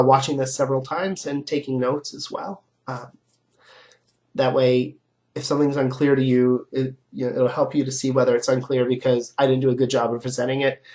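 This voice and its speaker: A man with a stuffy nose giving a casual, explanatory philosophy lecture to students, often asking "right?" as he goes. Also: mid-range voice. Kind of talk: recorded online lecture.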